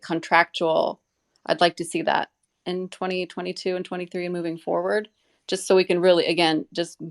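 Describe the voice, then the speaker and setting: Woman with a lowish creaky voice, chatting earnestly, kinda rambly. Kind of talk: casual live audio discussion.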